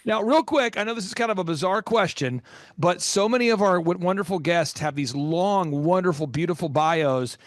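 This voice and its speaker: A man hosting a business podcast, introducing and questioning a guest in a loud, emphatic way. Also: sits high, lively intonation, moderate pace, no echo. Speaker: host.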